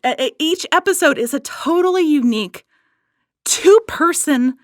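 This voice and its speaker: A woman with a fairly high voice talks casually as a podcast guest. She gushes like a devoted fan, leaning on words and repeating them for emphasis. The sound is close and dry. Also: moderate pace, no echo. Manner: gushing, animated fan enthusiasm with emphatic repetition.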